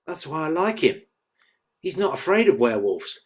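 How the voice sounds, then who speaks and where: Cocky young soldier, acted by a man; lively, swooping delivery, slightly high voice, table-read drama. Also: moderate pace. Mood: cool, confident. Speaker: script reader.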